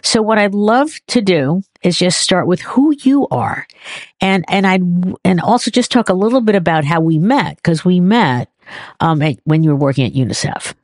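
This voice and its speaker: A woman's voice: fairly low pitch, expressive, normal speed, a little mellow, dry recording, studio quality. A woman hosting a podcast, presenting and interviewing. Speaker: podcast host. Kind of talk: scripted podcast introduction, then warm-up interview talk.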